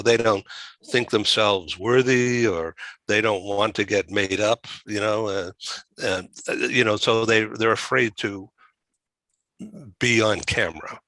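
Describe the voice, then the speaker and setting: A man hosting a conversational interview, asking halting, rambling questions. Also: fairly low pitch, pushed and bright, no echo. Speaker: talk show host.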